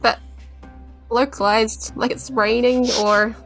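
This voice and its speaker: A woman playing a timid, naive young traveller in a fantasy tabletop game, halting and hesitant, with a New Zealand accent. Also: on the high side, flat delivery, a bit bright, soft background music. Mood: uneasy.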